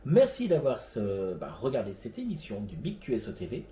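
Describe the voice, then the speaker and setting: Man presenting, lively melodic voice, roomy echo. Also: lowish voice, normal speed, slight background noise. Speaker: host of an amateur radio web show. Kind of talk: scripted presentation read to viewers. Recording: roomy, echoey sound.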